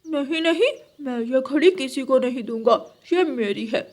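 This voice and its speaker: A woman's voice: high-pitched, animated, moderate pace, darkish tone. A woman voicing a cocky, whiny, childlike young boy crow in a children's cartoon. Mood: defiant.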